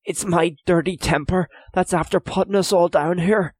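A man's voice: sits high, steady tone, moderate pace, a little mellow, breathy edge, dry recording, studio quality, pitched above the performer's own voice. A man voicing a guilt-ridden, hot-tempered young Irish chieftain's son held captive, earnest and pleading in an audiobook story. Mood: guilty, apologetic.

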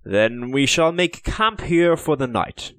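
A man reading an audiobook, voicing an English officer who speaks in measured, placating, formal tones while lying. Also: medium pitch, expressive, fairly bright tone, no room sound, pitched above the performer's own voice. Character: English captor officer, man, smoothly evasive.

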